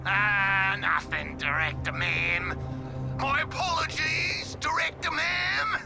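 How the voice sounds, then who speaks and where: A man's voice: high voice, slow, vocal fry, over music, heavily acted. An actor playing a cocky, mouthy male thief. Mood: mocking. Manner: mocking, sarcastic.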